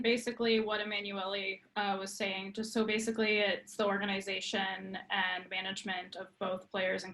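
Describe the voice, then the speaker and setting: A woman's voice: medium pitch, bright, forceful tone. A woman in her thirties with a Prince Edward Island accent, a youth soccer coach chatting casually and laughing often in an interview. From Prince Edward Island, Canada. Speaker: girls' program coach.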